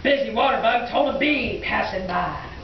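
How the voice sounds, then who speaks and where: A woman's voice: fairly high pitch, animated, normal speed. A woman telling a story to children at a live puppet show, theatrical in how she draws out and repeats words. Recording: echoey room, distant dull mic, crowd. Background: audience of children.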